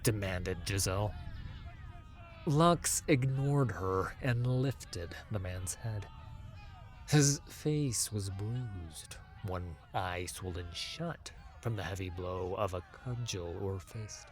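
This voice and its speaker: A man with a lowish voice narrates a fantasy audiobook. His delivery is slow, solemn and dramatic, with music playing softly behind him. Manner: measured, solemn.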